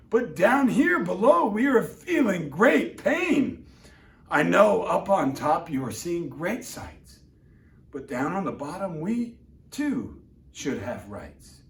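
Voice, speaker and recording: A man's voice: on the high side, slight background noise, pitched above the performer's own voice. A man voicing a meek, weary turtle who pleads and groans. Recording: roomy, distant mic.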